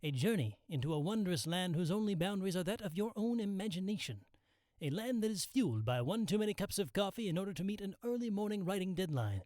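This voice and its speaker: A man's voice: high voice, expressive, quick pace, a little mellow, dry recording, clean studio sound. A man acting as an eerie, theatrical narrator of an anthology mystery show, in a portentous, dramatic style. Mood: ominous, grand.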